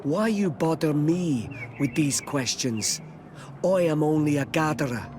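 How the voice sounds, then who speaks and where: An actor playing an irritable, nervous village gatherer in a video game, curt and brusque. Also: male voice, fairly high pitch, animated, unhurried, slight background noise. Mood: irritated, dismissive. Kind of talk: video game character acting. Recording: loud music over the dialogue.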